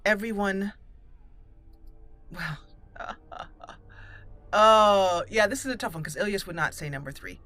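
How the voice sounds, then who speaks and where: A woman with a bright, forward voice narrates her fantasy game playthrough. She moves between casual commentary and formal, deliberate roleplay speech, with game music behind her. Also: mid-range voice, no echo. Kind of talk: let's play commentary with in-character dialogue.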